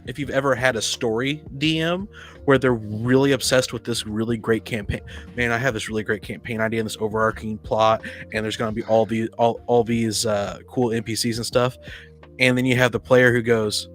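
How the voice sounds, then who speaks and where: A man's voice: mid-range voice, steady tone, a little fast, fairly bright tone. Blunt, opinionated man with music behind. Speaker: podcast panelist. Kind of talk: casual opinionated discussion of tabletop roleplaying games.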